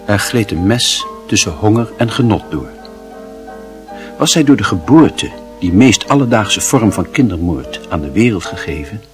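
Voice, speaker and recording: A man narrating a literary radio drama in a solemn, measured and expressive manner. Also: fairly low pitch, soft background music, natural voice.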